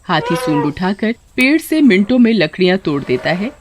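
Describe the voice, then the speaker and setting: Casting: storyteller for scripted kids' tales, very animated intonation, dramatic delivery. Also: mid-range voice, normal speed, slight background noise. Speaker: narrator. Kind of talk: scripted children's story narration in Hindi.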